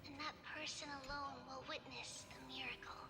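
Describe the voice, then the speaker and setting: Actress as a solemn high-voiced prophetess in reverb. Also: female voice, steady tone, normal speed, natural voice. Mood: solemn farewell. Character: solemn, prophetic female figure bidding farewell. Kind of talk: video game dialogue. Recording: reverberant hall, loud music over voice.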